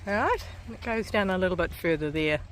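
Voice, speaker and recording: A woman's voice: lowish voice, expressive, quick pace, a little hiss or hum, low-quality recording. A woman in her forties with a New Zealand accent, vlogging casually as she hikes a coastal trail and laughing often. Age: 40s. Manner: chatty, laughs often, breathy from climbing stairs. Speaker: hiking vlogger. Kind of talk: casual vlog narration while hiking.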